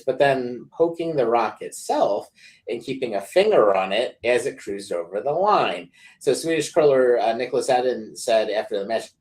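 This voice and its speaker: A man's voice: lowish voice, darkish tone, low-quality recording. A man co-hosting a casual sports podcast, rambling with lots of fillers and laughing often. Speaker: podcast co-host.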